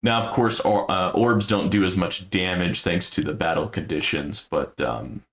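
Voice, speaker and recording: Gravelly low-voiced man streaming a game. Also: normal speed, fairly bright tone. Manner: thinking aloud, low-key and musing. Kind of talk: casual gameplay commentary.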